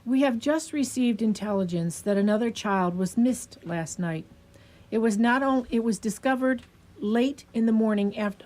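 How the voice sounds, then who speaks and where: A woman's voice: mid-range voice, a little mellow, lightly acted, low-quality recording. A woman playing a troubled, skeptical young male asylum doctor in a radio play, formal and earnest. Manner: formal, earnest dramatic delivery.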